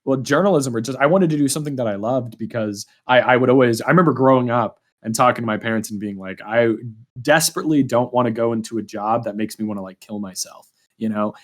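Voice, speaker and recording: Young male podcast host, average pitch, loose conversational delivery that wanders and doubles back. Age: young adult. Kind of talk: casual chat.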